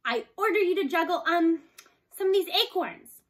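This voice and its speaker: A woman's voice: very high voice, animated, normal speed, pitched above the performer's own voice. A woman voicing a bored, curious young farm girl in a storybook, demanding and playful. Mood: playfully bossy.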